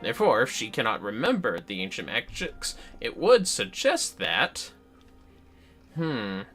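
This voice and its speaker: A man's voice: on the low side, wildly swooping pitch, unhurried, fairly bright tone, faint music behind, exaggerated voice. A man voicing a formal, learned fantasy game scholar, reading dialogue theatrically in archaic wording. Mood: learned, pondering.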